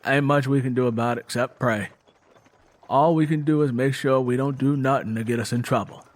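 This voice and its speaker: A man voicing a gentle, earnest, faithful young woman with a Southern American accent in a dramatic audiobook reading. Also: mid-range voice, fairly even intonation, fairly quick, lightly acted. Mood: uneasy.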